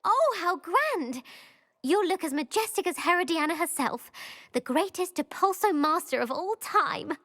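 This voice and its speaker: A woman voicing an eager, excitable young schoolgirl witch in a video game, gushing and emphatic. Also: extremely high pitch, a little slow, exaggerated voice. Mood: gushing, thrilled.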